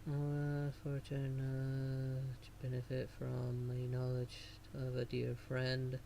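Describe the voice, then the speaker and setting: Casual game streamer, mid-low androgynous voice. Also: monotone, slow pace, vocal fry, warm tone, a little hiss or hum. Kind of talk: casual gameplay commentary, reading dialogue choices aloud.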